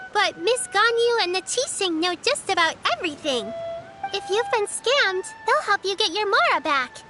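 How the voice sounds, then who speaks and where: A woman's voice: very high voice, exaggerated voice. A woman voicing a kind, sensible young girl in a video game, friendly and helpful.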